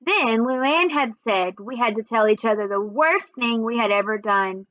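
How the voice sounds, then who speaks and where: A woman storyteller reading a first-person children's book aloud in an expressive, measured way. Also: a little high, no room sound, dull audio.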